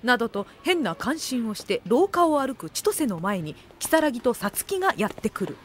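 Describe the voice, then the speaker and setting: Woman narrating anime drama, kinda high voice, really lively, music under. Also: normal speed, slight background noise. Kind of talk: scripted comic audio drama narration. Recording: music behind the voice.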